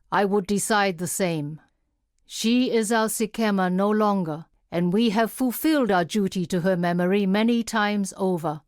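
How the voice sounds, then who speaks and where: A woman performs a solemn, unyielding female leader of a tribe for a video game. She speaks slowly and formally, weighing each statement with stern dignity. Her pitch sits in the middle for a woman, and the sound is dry, with no room around it. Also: no room sound, lightly acted. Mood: stern, resolute. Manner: formal, measured, grave, declarative delivery. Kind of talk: scripted video game character voice acting.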